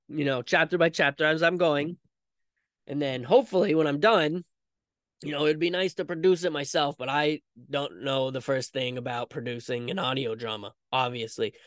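Clear-voiced man chatting casually on a podcast. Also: a little high, a bit bright, no echo, studio quality. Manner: rambling, self-deprecating, informal. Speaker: podcast host. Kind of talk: casual solo podcast chat.

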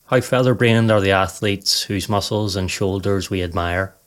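A man narrating a scripted guided meditation in a calm, measured, soothing way. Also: sits low.

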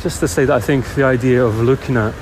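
A middle-aged man leaving a casual voice message for a podcast while on the move, hesitant and thinking aloud with many pauses. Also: mid-range voice, steady tone. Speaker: podcast caller. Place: on the way to work. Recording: voice message with loud travel background noise.

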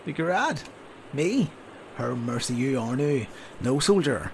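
Male narrator doing a gravelly, sarcastic storybook sergeant, loud and lecturing. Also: medium pitch, animated, a little hiss or hum. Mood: gruff orders. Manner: loud, sardonic, drawn-out scolding. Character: gruff blustering male army sergeant, sarcastic. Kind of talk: audiobook character voice.

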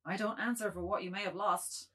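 A woman playing a cautious, gentle middle-aged man in a dramatized literary reading, speaking mildly and carefully with a husky voice. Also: medium pitch, fairly even intonation, fairly quick. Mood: quiet, prudent.

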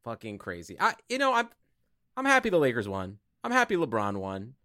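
A man with a mid-pitched voice, recorded dry and close. He talks as a podcast co-host in loose sports banter, sarcastic and happy to swear. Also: huge pitch swings, a little fast, bright, forceful tone, no echo.

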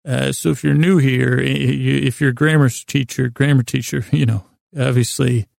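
A man hosting a bedtime podcast in a rambling, halting monologue, gravelly and creaky, with long pauses, self-corrections and silly self-deprecation. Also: mid-range voice, steady tone, darkish tone, no echo, studio quality. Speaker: podcast host.

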